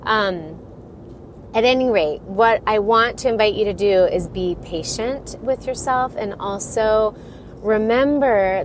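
Congested woman talking chattily on her podcast. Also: a little high. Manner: rambling, chatty, laughs often. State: congested from a cold. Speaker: podcast host and divorce coach. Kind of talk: casual solo podcast talk. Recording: audible background noise.

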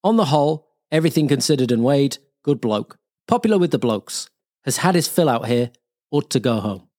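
A man's voice: mid-range voice, animated, brisk, a little mellow, close to an everyday voice. A man playing a wartime air force airman, reading a blunt, slangy diary in a terse, clipped manner. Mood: grudgingly fond. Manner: clipped, terse, matter-of-fact.

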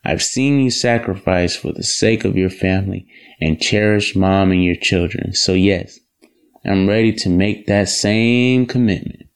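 An earnest young man in love, acted by a man for an audio drama. His voice sits very low with a gravelly creak. He speaks politely and respectfully, like a devoted son. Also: unhurried. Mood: sincere, grateful.

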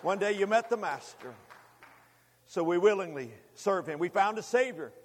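A man in his fifties preaching a sermon, speaking emphatically with deliberate repetition and addressing the congregation directly. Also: high voice, expressive. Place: large hall, preaching to a congregation. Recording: long hall reverb.

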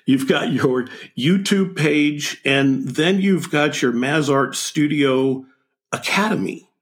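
A man hosting a conversational interview, a bit halting and repeating words as he goes. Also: fairly high pitch. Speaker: interviewer, host.

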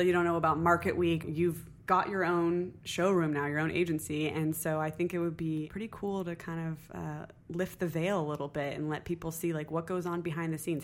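A woman hosting a casual podcast interview, upbeat and curious, laughing often and asking lots of questions. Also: sits low. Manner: enthusiastic, laughs often, asks many questions. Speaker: podcast host.

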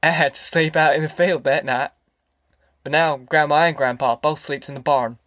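A man's voice: a little high, steady tone, normal speed, fairly bright tone, dry recording, studio quality, natural voice. A man voicing a boisterous older farmer father in an audiobook, rambling and folksy, with a rural Southern American accent. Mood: amused, boisterous. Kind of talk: audiobook character acting.

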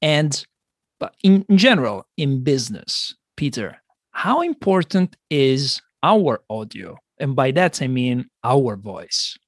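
Lively male podcast host. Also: mid-range voice, slow pace, no room sound, clean studio sound. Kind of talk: scripted intro and interview questions.

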